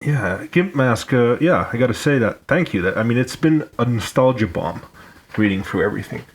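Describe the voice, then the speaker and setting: A man's voice: medium pitch, expressive, normal speed, slightly rough. A man with a Finnish accent who grew up in Hong Kong, a guest on a podcast chatting casually and joking, often restarting his words. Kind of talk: casual chat.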